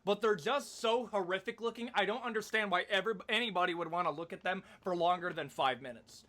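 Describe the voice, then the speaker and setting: A man's voice: high-pitched, quick pace, fairly bright tone. A man giving casual, opinionated video commentary in an emphatic, slangy, conversational way.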